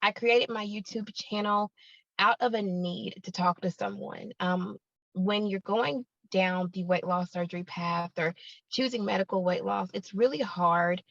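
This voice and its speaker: A woman, a podcast guest who talks softly and hesitantly with many pauses. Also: medium pitch, vocal fry, dry recording, muffled recording. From Alabama, United States. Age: 30s. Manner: soft-spoken, hesitant with many ums, laughs easily. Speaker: guest, YouTuber. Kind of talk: casual podcast chat.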